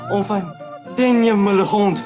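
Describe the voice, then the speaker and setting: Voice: mid-range voice, steady tone, music in the background, natural voice. A young performer playing a theatrical older aristocrat in a French farce made as a radio play, melodramatic and declamatory. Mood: melodramatic, insistent. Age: young adult. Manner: melodramatic, declamatory, slow delivery. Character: theatrical older male aristocrat claiming a long-lost son.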